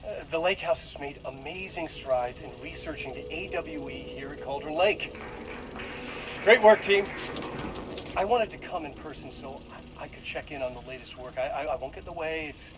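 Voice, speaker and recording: Guy acting a nerdy eager science boss in a game giving a staff speech, stammers and rambles, voice a bit high. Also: normal speed, close to an everyday voice. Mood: earnest excitement. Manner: stammering, earnest, excitable. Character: eager, awkward, rambling male senior scientist.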